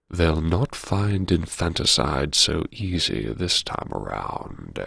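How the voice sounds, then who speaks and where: A man's voice: fairly low pitch, vocal fry, husky, no echo, exaggerated voice. A man playing a wry, brooding, disgraced businessman who mutters to himself in a theatrical audiobook monologue. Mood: wry, calculating. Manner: sardonic, theatrical, muttering to himself with sighs and pauses. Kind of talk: first-person audiobook monologue, dramatic reading.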